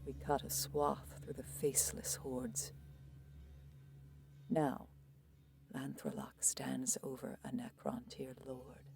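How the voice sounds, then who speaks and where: Woman playing a solemn, slow-spoken elven seer. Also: extremely low pitch, big pitch swings, creaky, in a whisper, full character voice. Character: wary, prophetic Eldar seer woman, solemn lover. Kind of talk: science fiction audio drama acting and narration.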